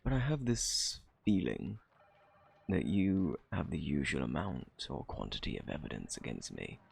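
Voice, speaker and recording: A man with a British accent acting out a flirty, cocky thief under interrogation in an ASMR roleplay, teasing and sarcastic in a husky, gravelly drawl. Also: extremely low pitch, very animated, unhurried, darkish tone, heavily acted. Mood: smug, playful. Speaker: audio roleplay performer.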